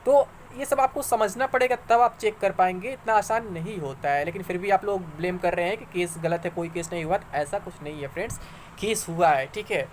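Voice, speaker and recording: A man's voice: sits high, fairly quick, slight background noise. Argumentative man explaining to viewers. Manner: conversational, argumentative, repeats points for emphasis. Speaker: exam news YouTuber. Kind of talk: informal explanatory commentary to viewers.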